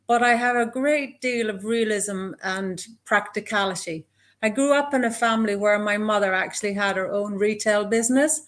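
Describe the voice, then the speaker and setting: A woman in her fifties speaks with a west of Ireland accent in a measured, articulate way. She answers interview questions as a business mentor guest. Her voice comes through a video call. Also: medium pitch, fairly bright tone. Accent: west of Ireland Irish.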